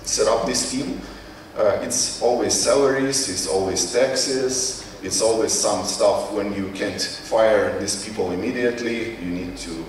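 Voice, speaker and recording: Echoey, lowish Ukrainian-accented male conference speaker. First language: not English. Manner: halting, searching for words in non-native English. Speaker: conference speaker, company CEO. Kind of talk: conference presentation, informal. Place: conference hall. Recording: echoey hall, distant mic, faint noise.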